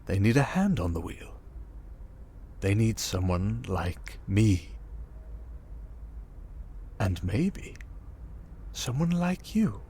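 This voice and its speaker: A man playing a smug, manipulative criminal mastermind in an ASMR roleplay, calm and condescending, coaxing with endearments and soft breathy murmurs. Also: on the low side, a little hiss or hum. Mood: pressing, intense. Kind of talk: ASMR roleplay monologue, dramatic.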